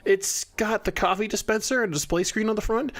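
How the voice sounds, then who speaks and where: A man's voice: high-pitched, a little fast, fairly bright tone. A man playing a flustered, earnest inventor with a hesitant, stammering manner in a comic detective audio drama. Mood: earnest, proud. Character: flustered, earnest male inventor seeking help.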